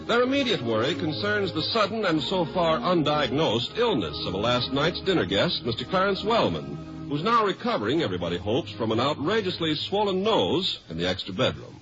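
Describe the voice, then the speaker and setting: A man's voice: fairly high pitch, sing-song, normal speed, few pauses. A man with an American accent delivering scripted announcements in 1950s radio style. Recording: muffled old radio broadcast with music. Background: music.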